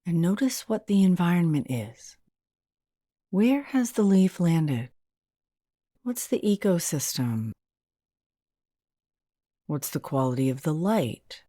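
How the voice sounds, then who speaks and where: A woman leading a guided meditation as a hypnotherapist, in a soothing, gentle and unhurried manner. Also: lowish voice, expressive, no echo, clean studio sound.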